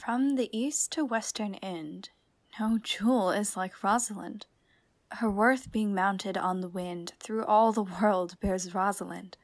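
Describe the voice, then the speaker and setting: A woman playing a spirited, sharp-tongued young noblewoman disguised as a youth in a Shakespearean radio play. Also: medium pitch, takes it slowly, dry recording. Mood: admiring, reciting.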